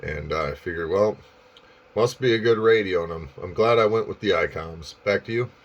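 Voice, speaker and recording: Deep voiced guy doing casual ham radio chat, kinda dull sound, rambles and hesitates. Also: normal speed, slight background noise. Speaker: amateur radio operator.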